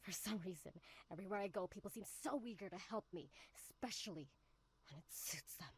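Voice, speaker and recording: A woman's voice: mid-range voice, expressive, a little fast, husky. A woman playing a bitter, sarcastic, guarded young woman in a visual novel game. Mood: scornful.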